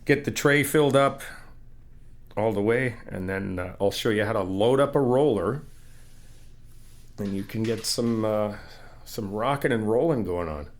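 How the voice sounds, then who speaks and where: Brief: young adult man, lowish voice, relaxed teasing friend, soft reassuring ASMR delivery. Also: measured pace, pauses often. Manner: easygoing, teasing, reassuring, laughs often. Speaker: ASMR roleplay creator playing a supportive friend. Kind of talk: ASMR roleplay.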